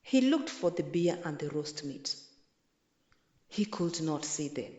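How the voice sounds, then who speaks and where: A woman reading a children's storybook aloud in an expressive, deliberate way. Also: deep voice, highs cut off. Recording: echoey, roomy sound.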